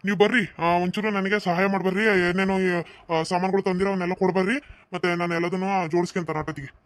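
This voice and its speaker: A man's voice: high voice, level tone, quick pace, no echo, natural voice. A man voicing a middle-aged village priest in a cartoon, speaking with a North Karnataka Kannada accent. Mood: explaining. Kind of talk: cartoon voice acting.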